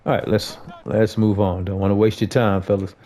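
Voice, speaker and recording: A man's voice: lowish voice, level tone, moderate pace, warm tone, a little hiss or hum. An American man giving a casual, conversational gameplay tutorial, talking informally to viewers as a host.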